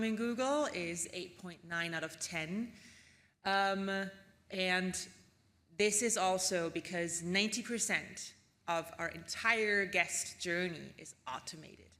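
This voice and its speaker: Bright-voiced woman giving a keynote. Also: mid-range voice. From Austria. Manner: casual, chatty delivery with frequent ums and tag questions. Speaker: keynote speaker. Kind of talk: conference keynote presentation.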